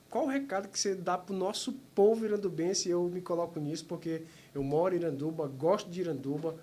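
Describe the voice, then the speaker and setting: Measured motivational podcast host. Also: male voice, fairly high pitch. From Manaus, Brazil. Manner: measured, motivational, speaks in long flowing sentences. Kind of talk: interview hosting, reflective monologue.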